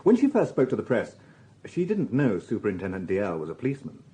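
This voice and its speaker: An actor playing a dry, insistent police inspector with a British accent, questioning in a measured, sardonic way in a radio drama. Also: male voice, fairly low pitch, huge pitch swings, mellow. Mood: dry, probing.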